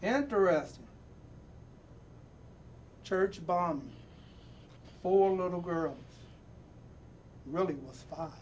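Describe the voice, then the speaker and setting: A man reading a picture book aloud in an emphatic, deliberate way, repeating phrases for weight and adding his own reflective asides. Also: sits high, a little mellow, slight background noise. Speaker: storybook reader and presenter.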